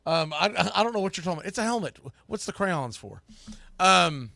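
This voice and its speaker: A man's voice: sits high, very animated, brisk, gravelly, pushed and bright, no echo. A man hosting a live sports talk podcast, speaking casually and emphatically with informal, opinionated, mildly salty phrasing.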